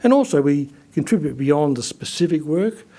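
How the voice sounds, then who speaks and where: A man's voice: a little high, expressive, moderate pace, warm tone. A man giving a conversational seminar presentation on behalf of a colleague, with frequent fillers and restarts.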